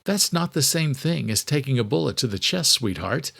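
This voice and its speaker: A man's voice: mid-range voice, lively intonation, normal speed, vocal fry, a bit bright, pitched above the performer's own voice. A man voicing a protective, skeptical husband and intelligence operative in an audiobook, in a familiar, questioning way. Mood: reassuring, skeptical. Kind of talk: audiobook character dialogue.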